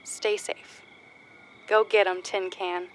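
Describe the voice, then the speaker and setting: A woman's voice: mid-range voice, level tone, a little hiss or hum, natural voice, poor audio. Woman playing a rueful, sincere sister leaving a message. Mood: wistful, tender. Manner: confessional, halting, sincere. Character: rueful, tender older-sister runner recording a heartfelt message. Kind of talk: audio drama acting.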